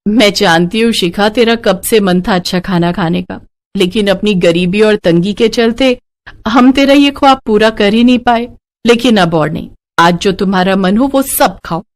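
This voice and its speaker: A woman voicing a kind, self-sacrificing young married sister-in-law in an animated moral story, with a caring, expressive manner. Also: mid-range voice, moderate pace, close to an everyday voice. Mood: tender.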